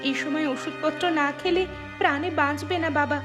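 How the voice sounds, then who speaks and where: A woman's voice: sits high, fairly even intonation, fairly quick, music in the background, lightly acted. A woman playing an earnest, dutiful young village daughter in a cartoon, pleading and reproachful.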